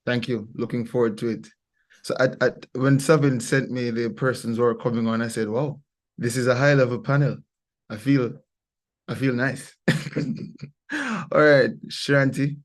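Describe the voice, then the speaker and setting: A man's voice: mid-range voice, steady tone, normal speed. A man hosting an online panel discussion from his workplace, upbeat and chatty, joking with his guests. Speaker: host.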